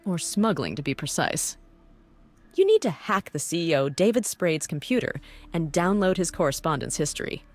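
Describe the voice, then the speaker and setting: Bossy woman operative voiced by a woman for a game, low register, brisk and dryly condescending, faint music underneath. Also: sing-song. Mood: businesslike. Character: bossy, cynical woman running a secret task force. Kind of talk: visual novel voice acting.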